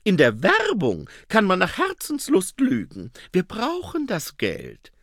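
A man reading an audiobook and voicing a practical young woman who speaks in a crisp, dry, matter-of-fact way. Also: high-pitched, wildly swooping pitch, slow pace, fairly bright tone, no room sound, voice pushed up, heavily acted.

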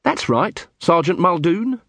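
A man voicing an earnest, polite young watchman, lively pitch. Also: no echo, exaggerated voice. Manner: measured, formal, officious. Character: young earnest, literal-minded, unflappably polite city watchman.

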